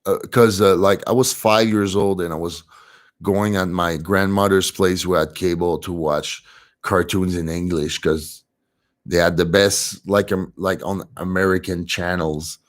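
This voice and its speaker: A man's voice: low voice, unhurried. A young man with a French accent, speaking English as a second language, casually chatting on a livestream and reading comments aloud with easy laughs. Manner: relaxed and chatty, often laughing softly. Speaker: livestream host reading chat.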